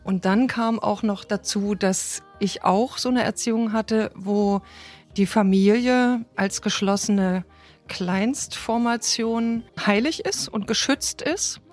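Fifties woman from thuringia, thoughtful storytelling, mid voice, quiet music under it. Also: mid-range voice, slow. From Thuringia, Germany. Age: 50s. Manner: reflective and thoughtful. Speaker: interviewee. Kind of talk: personal storytelling.

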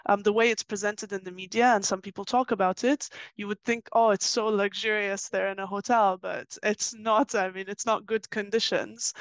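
A woman's voice: medium pitch, dry recording. A woman from Turkey giving conversational, explanatory answers as an interview guest. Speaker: interview guest, author and financial journalist.

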